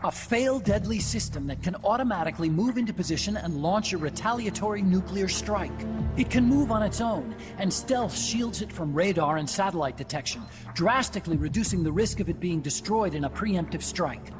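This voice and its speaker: Earnest young scientist: lighter voice, lecturing, over score. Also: male voice, high voice, expressive, brisk. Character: earnest young male engineer scientist, talkative. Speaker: game character. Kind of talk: game cutscene voice acting. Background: game score music.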